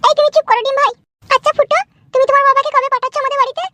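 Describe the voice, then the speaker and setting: A man's voice: very high voice, flat delivery, very fast, sharp tone, full character voice. A man voicing a sweet but bossy young woman in love for a comedy cartoon, coaxing and scolding. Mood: chatty, coaxing. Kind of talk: amateur comedy cartoon dub.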